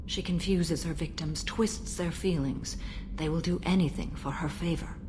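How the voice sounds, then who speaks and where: Low female voice, actress playing centuries-old warrior, solemn, measured, formal, stoic, video game drama, background noise. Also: fairly even intonation, darkish tone, natural voice, low-quality recording. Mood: stern, explanatory. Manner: grave, measured, formal, restrained.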